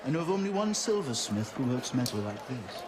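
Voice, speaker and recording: A man's voice: mid-range voice, very animated, brisk, a crowd in the background, noisy background, natural voice. An actor playing a sly, theatrical thief king who drawls and mocks, in a game cutscene. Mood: sardonic. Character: sly, theatrical middle-aged man, king of thieves. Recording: music underneath.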